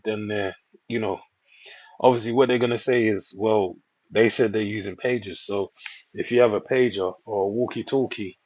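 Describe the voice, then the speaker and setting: A man with a fairly low, husky voice talks through the news in a rambling, preacher-like way. He moves between reading aloud and informal commentary, often punctuating with quick check-in phrases. The recording is dull and lo-fi. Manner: rambling, preacher-like asides, repeatedly checks with "all right". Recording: dull lo-fi microphone.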